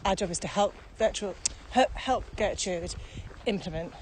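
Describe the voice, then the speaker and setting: A woman with a mid-pitched voice chats casually as a podcast co-host, working out her thoughts as she speaks. She is recorded outdoors while walking, with faint ambient noise behind her. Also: normal speed, a little mellow. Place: walking outdoors across open fields.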